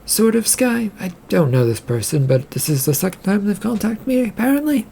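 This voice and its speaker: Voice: mid-range voice, big pitch swings, fairly quick, vocal fry, dark tone, slight background noise, pitched above the performer's own voice. A narrator voicing a wary, skeptical woman on a ship's crew, guarded and questioning. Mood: doubtful.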